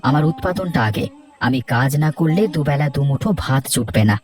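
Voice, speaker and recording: A man's voice: medium pitch, fairly quick, darkish tone, lightly acted. A man playing an earnest, firm village character in a cartoon, explaining things in a reasoning way. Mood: insistent. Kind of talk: animated cartoon dialogue. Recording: music bed behind the voice.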